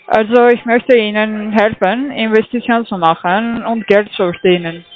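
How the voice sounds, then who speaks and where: A man's voice: high-pitched, fairly even intonation. Hesitant male scam caller, phone line. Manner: hesitant, halting, with many fillers. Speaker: scam call-center caller.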